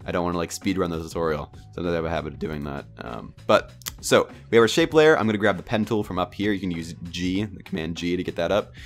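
Stuffy-nosed young man, deep voice. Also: quick pace, soft background music. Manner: relaxed, rambling, many ums and uhs. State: stuffy, nasal from a cold. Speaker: tutorial host. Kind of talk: casual screen-recorded software tutorial.

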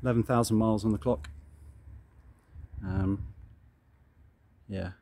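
A man's voice: fairly low pitch, fairly even intonation, slow pace, warm tone. A man casually talking through his motorbikes in a plain, matter-of-fact way, filming outdoors on a driveway. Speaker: motorbike owner showing his collection. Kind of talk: casual walkaround narration.

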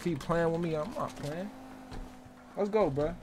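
A man's voice: a little high, measured pace, music in the background. An American man streaming a roleplay game, giving insistent, urgent orders and repeating himself in slangy in-character chatter. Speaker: game streamer playing a roleplay crew leader.

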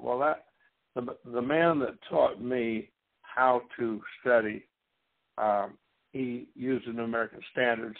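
Folksy preacher man on a tinny line. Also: mid-range voice. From Missouri. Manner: rambling, folksy, frequent restarts and fillers. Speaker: Bible teacher. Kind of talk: sermon-style Bible teaching. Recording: husky voice over a tinny phone-like line.

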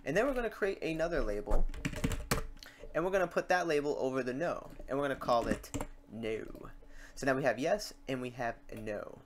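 A man hosting a casual, step-by-step software tutorial, talking informally to his viewers. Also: medium pitch. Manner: informal, addressing viewers as guys. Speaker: tutorial host. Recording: clear background noise, keyboard typing, clicks. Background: keyboard typing and mouse clicks.

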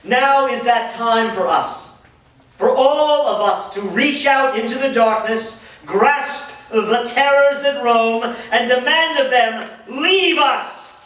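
A man playing an impassioned military commander in a staged reading, declaiming solemnly with deliberate pauses. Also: extremely high pitch, fairly bright tone, full character voice. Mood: impassioned, rousing. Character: impassioned male commander rallying his soldiers. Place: large bare room with small audience, indoors. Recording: very echoey room, distant mic.

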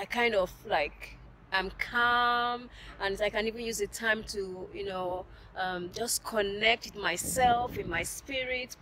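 A woman's voice: medium pitch, a bit bright, rough recording. Middle-aged woman vlogging amid outdoor noise. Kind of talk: casual motivational vlog chat to camera. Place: outdoors beside a brick building, terrace.

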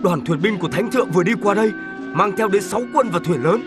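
A man's voice: sits high, lively intonation, quick pace, music in the background. A man playing a watchful, awed village scout reporting to his chief in a historical cartoon.

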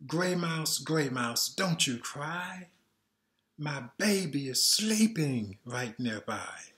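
A man reading aloud as a mother who hushes noisy animals, in a sing-song rhyming chant. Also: fairly high pitch, animated, slow pace. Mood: soothing chant. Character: gentle mother hushing animals near her baby.

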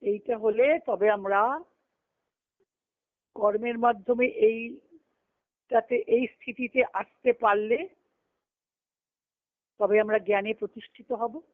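A woman's voice: a little high, fairly even intonation, takes it slowly, pauses often, no room sound. A woman giving a devotional scripture discourse as a spiritual teacher over a conference call, explaining phrase by phrase with repetition. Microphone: conference call line. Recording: muffled lo-fi conference-call phone audio.

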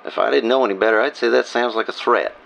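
A man playing a politely defiant local lawman in an audio drama, with a gravelly voice. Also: medium pitch, fast, fairly bright tone. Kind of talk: naturalistic audio drama acting. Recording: muffled, noisy lo-fi line.

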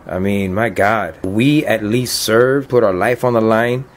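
Low-voiced earnest man in his fifties. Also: unhurried. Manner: earnest, plainspoken, emotional. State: choked up. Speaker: deported veteran telling his story. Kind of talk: documentary interview testimony.

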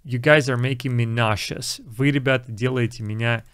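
A man's voice: mid-range voice, fairly even intonation, moderate pace, fairly bright tone, clear, resonant tone, no echo, studio quality. A young man, an English teacher, casually explaining film lines and repeating English phrases.

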